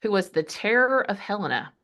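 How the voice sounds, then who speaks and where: A woman's voice: medium pitch, animated, moderate pace, a bit bright. A woman co-hosting a podcast, telling a story in casual conversation with chatty, wry asides. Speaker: podcast co-host.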